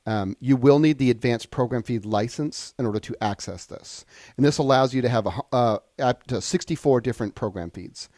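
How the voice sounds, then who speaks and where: Man giving a halting software tutorial. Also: medium pitch, no room sound, clean studio sound. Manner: halting, thinking aloud, explanatory. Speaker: software trainer. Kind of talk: unscripted screen-share tutorial.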